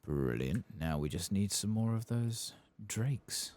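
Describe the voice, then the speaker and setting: A soft-spoken man giving ASMR video game commentary, musing to himself and reading quest text aloud in an unhurried way. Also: fairly low pitch, lively intonation. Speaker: ASMR gaming streamer narrating his play.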